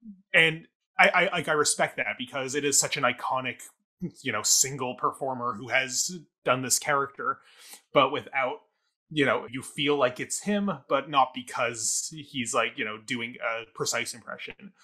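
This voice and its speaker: A man co-hosting a podcast, giving a casual, analytical review in a thoughtful, halting way as he works through his explanations. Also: medium pitch, pushed and bright. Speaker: theatre review podcast co-host.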